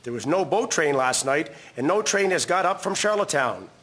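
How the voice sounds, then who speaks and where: Bright, forceful 1950s newsreader, played by a man. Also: fairly high pitch, animated, moderate pace, husky. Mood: formal. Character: 1950s radio newsreader delivering storm bulletins. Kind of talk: scripted news reading, period radio drama.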